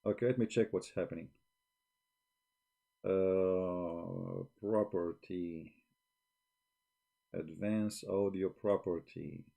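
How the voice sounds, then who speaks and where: A man's voice: deep voice, fairly even intonation, slow, gravelly, pauses often, narrow, tinny audio. A man hosting a live stream, challenging and blunt, speaking broken English into a desk microphone. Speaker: livestream host. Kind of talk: argumentative live-stream talk.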